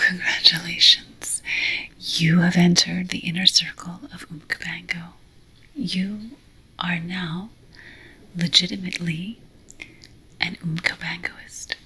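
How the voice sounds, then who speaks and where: A woman hosting ASMR, whispering a scripted ceremonial address of affirmations in a breathy, solemn, deliberate way. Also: lowish voice, big pitch swings, bright, forceful tone. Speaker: ASMR roleplay host.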